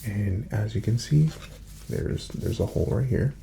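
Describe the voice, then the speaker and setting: Soft, very creaky low-voiced man doing ASMR. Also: animated, a little hiss or hum. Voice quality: soft, breathy, often slipping into whisper. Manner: gentle, reassuring, unhurried step-by-step instructions. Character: calm, courteous male doctor examining a patient. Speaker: ASMR roleplayer playing a doctor. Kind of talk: soft-spoken ASMR medical exam roleplay.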